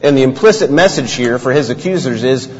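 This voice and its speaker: A man preaching a sermon as pastor, telling stories in a measured, earnest way. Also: medium pitch, lively intonation, a little mellow, slightly rough. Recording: dull sound, long hall reverb.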